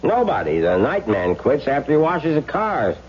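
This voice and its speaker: An actor playing a talkative working-class garage attendant in a 1970s radio drama, folksy and wisecracking. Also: male voice, mid-range voice, huge pitch swings, moderate pace, darkish tone. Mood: breezy, cheeky. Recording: dull vintage radio recording, faint hum.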